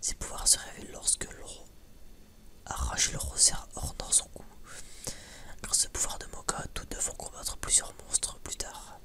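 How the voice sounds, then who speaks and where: Male, whispered voice, rambling ASMR style, stammering, informal with swearing. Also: measured pace. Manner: hesitant, stammering, repeats words. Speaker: ASMR video creator.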